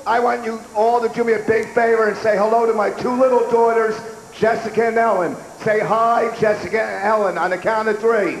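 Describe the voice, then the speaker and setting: A middle-aged man co-hosting a festival stage with a handheld mic, hoarse and losing his voice, hyping the crowd with rowdy, profane shouts. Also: high-pitched, fairly even intonation, fairly quick, a little hiss or hum. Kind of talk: crowd hype banter. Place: outdoor festival stage before a huge crowd. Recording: echoey outdoor PA with loud crowd.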